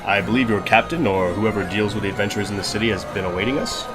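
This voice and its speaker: A man playing a confident, smooth-talking leader of adventurers in a fantasy audio drama, polite and persuasive. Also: lowish voice, quick pace, loud music behind the voice, lightly acted. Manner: polite, persuasive, formal with strangers.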